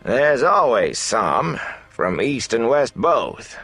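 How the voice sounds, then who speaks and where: A chatty, excitable tavern keeper in a fantasy audiobook, acted by a male narrator. His pitch is lifted and leaps around as he gossips in a folksy, exclamatory way, with music in the recording. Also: slow, husky, no room sound, exaggerated voice. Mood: wary. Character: chatty, excitable, wary middle-aged male innkeeper with thinning hair. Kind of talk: fantasy audiobook character dialogue.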